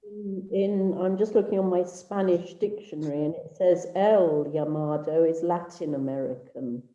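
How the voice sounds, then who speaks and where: A woman student breaks in politely on a video call. Her voice is very mellow and fairly low. The audio is dull and sounds echoey. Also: normal speed.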